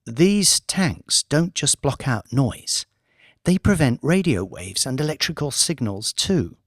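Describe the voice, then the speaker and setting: Man as young woman, earnest, confiding, secretive, lifted pitch, audiobook character, dry sound. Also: big pitch swings, takes it slowly, no echo. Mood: urgent, confiding.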